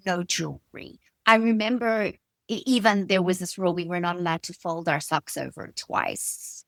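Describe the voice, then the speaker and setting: A middle-aged woman with a South African accent, answering in an interview with emphatic, expressive storytelling and personal anecdotes. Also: on the low side, dry recording. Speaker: guest, stylist and designer.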